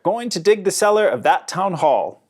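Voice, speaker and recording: Guy reading as an eager confident workman from a kids book, pitched up, upbeat and boasting. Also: sing-song, moderate pace. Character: eager, confident steam shovel operator, adult man.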